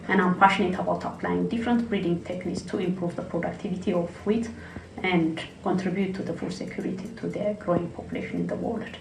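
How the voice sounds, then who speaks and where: A woman with an Ethiopian accent gives a prepared acceptance speech in a slightly low voice. She sounds some way from the microphone in an echoey room. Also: a little mellow. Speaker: plant breeder accepting an award. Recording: echoey, distant mic, faint ambient noise.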